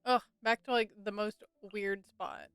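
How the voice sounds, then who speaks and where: A woman's voice: mid-range voice, steady tone, a little slow, a bit bright, soft background music. A woman giving casual live gameplay commentary as a streamer, informally thinking aloud. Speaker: game streamer.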